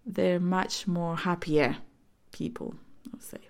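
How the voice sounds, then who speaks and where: A woman in her forties from Chile, speaking English with a Chilean accent as a Spanish native speaker, recalling her life in a hesitant, halting way. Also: fairly low pitch, vocal fry. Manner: hesitant, many fillers and restarts. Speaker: interviewee. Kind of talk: personal reminiscence.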